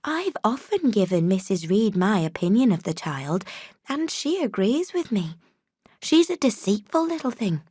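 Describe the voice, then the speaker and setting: A woman's voice: medium pitch, very animated, moderate pace, dark tone, slightly rough, dry recording, studio quality. A woman voicing a harsh, self-righteous servant woman who scolds sharply. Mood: disapproving.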